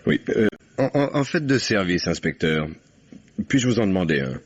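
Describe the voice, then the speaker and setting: An actor playing a suave, sly English gentleman in a French radio mystery, speaking with hesitant, drawling, ironic politeness. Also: low-pitched, very animated, moderate pace, husky, exaggerated voice. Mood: politely mocking. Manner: urbane, hesitant, drawling politeness with wry irony. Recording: dull, muffled old radio recording.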